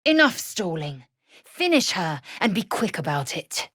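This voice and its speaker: A woman playing a proud, fierce githyanki warrior in a video game, with a husky, raspy voice and a clipped, formal, commanding way of talking. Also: on the low side, big pitch swings, measured pace, fairly bright tone, dry recording, studio quality, full character voice. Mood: scornful. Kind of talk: video game character voice lines.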